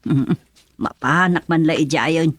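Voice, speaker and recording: A woman's voice: sits low, measured pace, husky. An actress playing a practical, mildly exasperated village mother in a radio serial, with a Filipino accent and homely, exclamatory speech. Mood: matter-of-fact.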